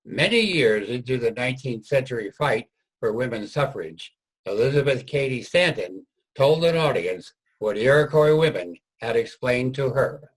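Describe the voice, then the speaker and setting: A man delivers scripted historical narration for a reader's theater. His voice is of average pitch, with a husky, unpolished grain. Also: expressive, moderate pace, rough recording. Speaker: narrator and writer of the play.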